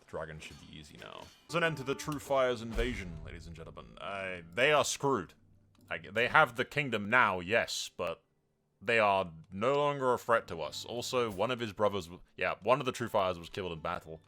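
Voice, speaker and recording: A man hosting a gaming video, giving casual, sweary commentary with some roleplay and animated exclamations. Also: fairly low pitch, normal speed, soft background music.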